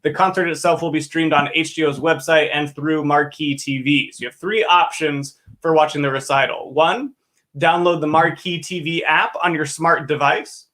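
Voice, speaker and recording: A man's voice: a little high, fairly even intonation, moderate pace, sharp tone. A man hosting a livestream pre-show for an opera company, presenting from a script in an upbeat, enthusiastic way. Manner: upbeat, enthusiastic, clear announcing.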